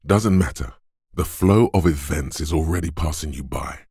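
An actor voicing a gruff, macho inner voice of physical strength for a video game, like a drill coach, blunt, taunting and commanding, with a gravelly, husky tone. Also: male voice, extremely low pitch, moderate pace, dark tone, no room sound, clean studio sound, heavily acted. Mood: gruff, steady.

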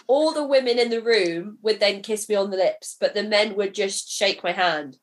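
Lady podcast host, normal pitch, casual chat, really curious and asking stuff. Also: moderate pace. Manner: inquisitive.

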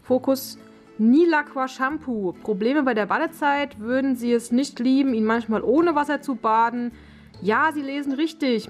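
A young woman, talking casually and thinking aloud as she reviews products in an unboxing vlog. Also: fairly high pitch. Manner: chatty, colloquial, thinking aloud. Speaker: dog owner and vlogger presenting an unboxing. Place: living room, indoors. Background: music in the background.

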